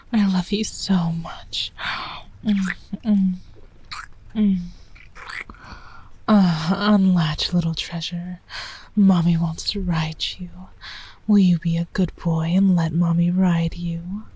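A woman voicing a doting, possessive motherly female dragon in an intimate audio roleplay, speaking in a soft breathy whisper. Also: lowish voice, heavily acted.

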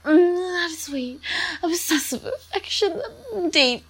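Very high, slow, breathy young woman. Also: expressive, a bit bright.

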